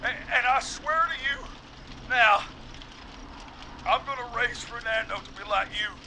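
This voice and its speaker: An actor playing an excitable, panicked man calling for help in a video game, speaking in frantic exclamations. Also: very high voice, measured pace, background noise. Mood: relieved, grateful. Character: panicked, grateful man trapped in a dumpster.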